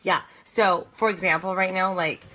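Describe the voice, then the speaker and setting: A slightly hoarse woman in her thirties, chatting earnestly on a live stream from her bed at home, rambling with many fillers and restarts. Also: fairly low pitch, fairly even intonation, moderate pace, pushed and bright. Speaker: host of a live video challenge. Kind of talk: casual chat on a live stream.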